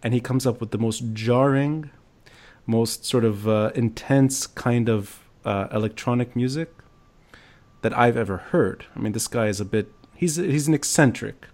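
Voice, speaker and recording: A man's voice: medium pitch, measured pace, a little mellow. A man from Lebanon hosting a conversational podcast interview, thoughtful and reflective, piecing his thoughts together as he goes. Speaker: podcast host.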